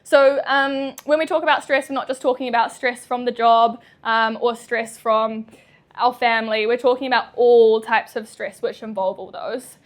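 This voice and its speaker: A young woman with a New Zealand accent gives a talk to an audience indoors. Her voice sits a little high, and she sounds nervous but chatty. She laughs often and fills her pauses with ums. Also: little pitch movement. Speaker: chiropractor giving a talk.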